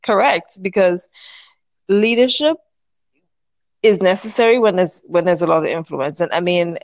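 A woman in her thirties from the Caribbean is a guest on a casual podcast. She speaks in a thoughtful, measured way and restarts her sentences often. The recording is dry and close. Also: lowish voice, no room sound. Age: 30s. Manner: thoughtful, measured, many restarts while finding words. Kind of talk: casual interview chat.

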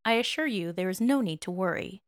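A woman voicing a courteous, composed elderly male palace official in an audio story, formal and unhurried. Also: medium pitch, expressive, dry recording, clean studio sound, natural voice. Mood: calm, insistent. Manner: formal, slow, polite.

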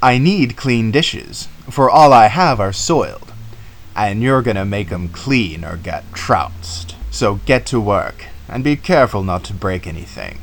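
A man's voice: lowish voice, expressive, a little slow, pitched below the performer's own voice. A man voicing a stern, menacing giant who gives commands in a storybook reading. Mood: threatening.

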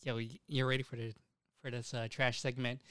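A young man co-hosting a podcast, chatting casually and crudely about anime and games in an excitable, giggly, sweary way. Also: medium pitch, a little fast, clear, resonant tone, no echo, clean studio sound. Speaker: podcast co-host.

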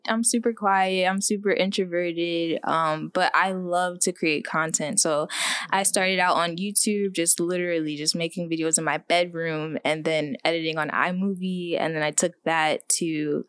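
Soft-spoken young woman, dry sound. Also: on the low side, steady tone, moderate pace, no room sound. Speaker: podcast co-host. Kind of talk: casual podcast chat.

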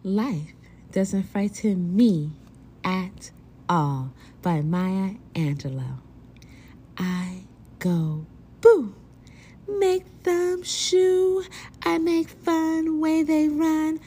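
A woman's voice: mid-range voice, expressive, extremely slow, dark tone, slight background noise. A woman educator reciting poetry for young children with theatrical, playful delivery.

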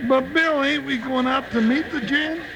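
A comical, impulsive cowboy sidekick for an old western radio show. His voice is strikingly high for a male, with folksy, blustering delivery. The old recording carries clear noise. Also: normal speed. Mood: eager. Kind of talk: 1950s western radio acting.

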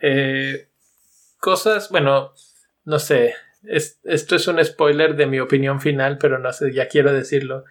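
Male podcast host, Mexican accent, mid-pitched, informal, halting film-review chat. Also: fairly even intonation. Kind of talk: casual conversational film review.

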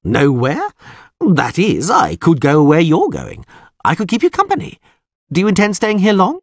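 Male reader as flamboyant storybook poet, playful and boastful, very animated, slightly husky. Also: high voice, normal speed, no echo, studio quality, voice pushed up, heavily acted. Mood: casual, curious. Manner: theatrical, exaggerated, playful. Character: flamboyant, cheeky male troubadour, boastful and jovial.

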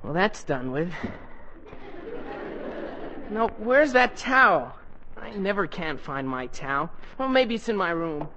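A dreamy, excitable teenage boy in 1940s radio comedy. His voice is high and he drags his words in a groggy way. The old broadcast has a faint layer of noise. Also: slow, pauses often, muffled recording, heavily acted. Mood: groggy muttering. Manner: groggy, exclamatory, talking to himself.

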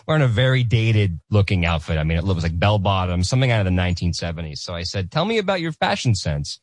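A man's voice: low voice, very animated, fast talker, no echo. A man co-hosting a comedy podcast, telling stories from a convention and setting up clips. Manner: storytelling, laughs along. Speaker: co-host presenting clips. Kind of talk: casual comedy podcast chat.

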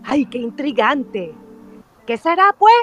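Woman acting a very high-voiced melodramatic young girl. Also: big pitch swings, slow pace, pushed and bright, faint music behind, full character voice. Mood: wary, intrigued. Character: naive, melodramatic lovesick young stepdaughter. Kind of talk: radio soap opera acting.

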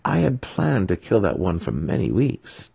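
A man acts out a resentful young dark elf apprentice in a fantasy audiobook. The voice jumps high and strained, spitting out words with sneering sarcasm. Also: sing-song, measured pace, creaky, husky, full character voice. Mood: smug sarcasm.

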